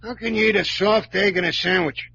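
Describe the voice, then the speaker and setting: On a muffled old radio recording, a cheeky waiter with a high, gravelly croak. The voice sounds hoarse and broken, as if the throat were damaged, and keeps needling for a tip. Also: male voice, a little fast, pushed and bright, a little hiss or hum, heavily acted. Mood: deadpan. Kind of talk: old-time radio comedy character acting.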